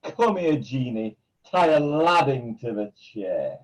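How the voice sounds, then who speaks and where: A woman playing a gloating pantomime villain in a theatrical, taunting, drawn-out way. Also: extremely low pitch, sing-song, far from the mic, full character voice, poor audio. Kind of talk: amateur pantomime on a video call.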